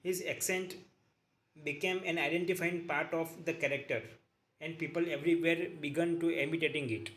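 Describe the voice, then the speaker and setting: A man reading a book aloud in a halting way, repeating each sentence and correcting his own slips. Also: a little high, fairly even intonation, a bit bright.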